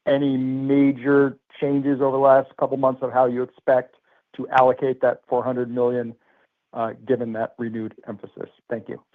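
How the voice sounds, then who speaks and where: Flat male analyst over the phone. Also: mid-range voice. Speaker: financial analyst asking questions. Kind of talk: unscripted earnings call questioning. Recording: narrow telephone line.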